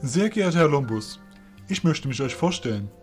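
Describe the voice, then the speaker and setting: A man playing a young fairy general, composed and courteous, speaking in formal, measured phrases in a fantasy audio drama. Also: a little high, animated, a little mellow, faint music behind, lightly acted. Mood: polite, serious.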